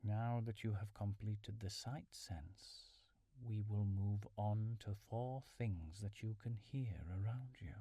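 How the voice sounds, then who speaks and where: A man leading a calm guided mindfulness meditation in a soothing, measured, instructional way, with soft breathy phrase endings. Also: on the low side, dry recording, clean studio sound. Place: small furnished room at home.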